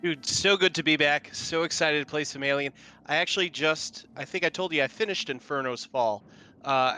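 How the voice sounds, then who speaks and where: A man's voice: mid-range voice, pushed and bright, slight background noise. A man chatting casually and enthusiastically, with some slang, as a player in a tabletop game. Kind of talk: casual chat.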